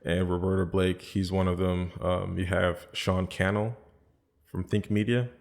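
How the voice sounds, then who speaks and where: Podcast guest, man, American accent, very low voice, loose rambling chat full of fillers. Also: level tone, a little slow, creaky, a little mellow. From born in the Bahamas, raised in the US. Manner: easygoing, rambling, many fillers and restarts.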